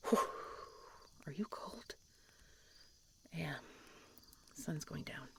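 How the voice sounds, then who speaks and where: A woman playing a guarded, tsundere dragon hunter in an intimate fantasy audio roleplay, halting and grudging, often dropping to a hushed delivery. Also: low-pitched, lively intonation, gravelly, in a whisper, full character voice. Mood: earnest, softening. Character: prickly tsundere female dragon hunter, guarded but softening.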